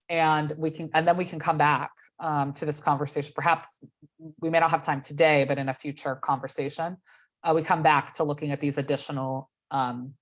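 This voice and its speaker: A woman with a cold leading an online policy meeting, courteous and measured, often pausing on ums. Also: low-pitched. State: has a cold, losing her voice. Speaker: meeting facilitator. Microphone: video call. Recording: dull lo-fi video call audio.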